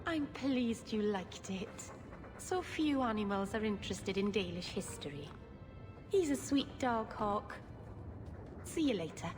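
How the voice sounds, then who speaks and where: A woman voicing a gentle, innocent young elf in a video game, with an earnest storytelling manner. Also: mid-range voice, animated, unhurried, natural voice. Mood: warm, pleased. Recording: long hall reverb, music behind.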